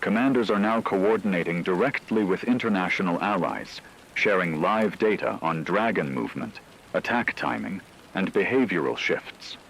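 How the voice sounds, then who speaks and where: A man acting as a radio news anchor, delivering scripted breaking news in a grave, formal, measured manner. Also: sits low, lively intonation, creaky, fairly bright tone, slight background noise, exaggerated voice, poor audio. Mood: grave, urgent. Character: grave male radio newsman reporting an apocalypse.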